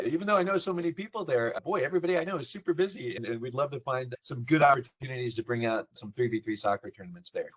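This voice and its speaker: A man's voice: a little high, a bit bright. Eager male podcast host. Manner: enthusiastic, self-deprecating promoter. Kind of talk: casual podcast talk.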